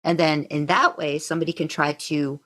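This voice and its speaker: A woman's voice: low-pitched, steady tone, fairly quick, a bit bright. A woman in casual podcast conversation, explaining things in a tentative, circling way. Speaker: podcast participant. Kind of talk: casual chat.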